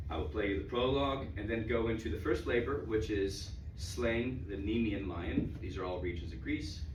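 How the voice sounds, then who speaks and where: An American man informally introducing his own music, halting and repeating words as he explains it. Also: lowish voice, noisy background. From United States. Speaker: musician presenting own composition. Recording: muffled, roomy, distant mic, loud music.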